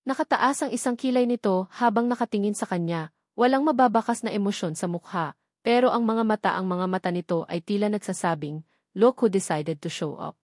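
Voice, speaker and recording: A woman's voice: medium pitch, fairly quick, dry recording, clean studio sound. A woman with a Filipino accent reading a scripted romantic drama as its storyteller. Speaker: narrator.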